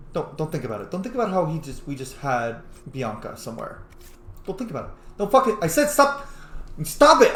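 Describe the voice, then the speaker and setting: A man's voice: high-pitched, a bit bright, roomy sound, a little hiss or hum. A man giving casual, sweary let's play commentary as a game streamer, joking and emphatic. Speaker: streamer playing a role-playing game.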